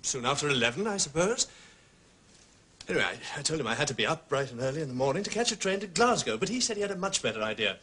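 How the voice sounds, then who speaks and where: An actor playing an indignant, blustering man under questioning in a radio drama, with a husky voice. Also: a little high, sing-song, fast talker, pushed and bright, exaggerated voice. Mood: defensive, recounting. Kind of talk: British radio drama acting.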